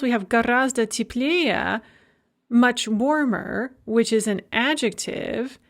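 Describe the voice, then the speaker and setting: A woman lecturing on Russian grammar, speaking clearly and measuredly, and slowing down to model the Russian example sentences. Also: a little high. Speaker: university Russian language lecturer. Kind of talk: recorded language lecture.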